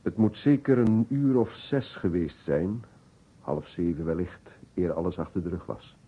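Deep-voiced elderly professor, old radio. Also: male voice, husky. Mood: assured, talkative. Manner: deliberate, reminiscing, searching for names. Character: elderly male professor of surgery, courteous, talkative. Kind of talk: Dutch radio play acting. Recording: muffled old radio recording, narrow band.

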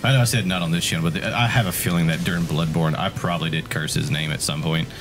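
A man in his thirties giving casual, joking, excitable commentary on a video game, with plenty of swearing. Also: sits low, fast talker. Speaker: gaming co-host, commentator.

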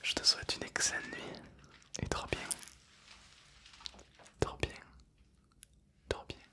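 A man in his twenties voicing a friendly, calm, brain-loving ghost zombie in a playful, soothing ASMR roleplay. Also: slow pace. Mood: gentle, playful. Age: 20s.

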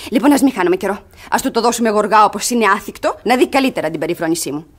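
An actress playing a cunning young ward feigning virtuous innocence, dramatic in a theatrical radio comedy. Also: female voice, medium pitch, expressive, close to an everyday voice. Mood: primly virtuous. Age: young adult.

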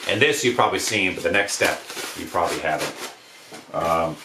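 American male DIY presenter, low-pitched, casual and rambling, recorded in an echoey living room. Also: a little fast. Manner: offhand, slangy, rambling. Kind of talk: casual hands-on how-to demonstration. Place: indoors, living room by wood stove. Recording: roomy echo, noise of handled objects.

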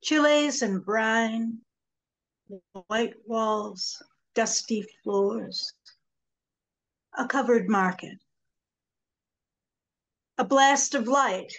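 An older woman in her sixties reads poetry dramatically. Her voice is fairly high, and she goes extremely slowly, giving phrases room on a home video call. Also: low-quality recording. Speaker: poet. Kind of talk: poetry reading, measured and dramatic. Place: small home room with bookshelves. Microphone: computer mic on a video call.

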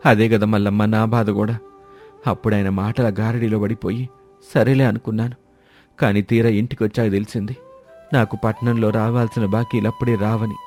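A man's voice: on the low side, flat delivery, normal speed, a little mellow, faint music behind, close to an everyday voice. A man playing a naive village farmer and husband in a moral story, gloomy and resigned as he laments. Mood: regretful. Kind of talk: dramatized Telugu moral story.

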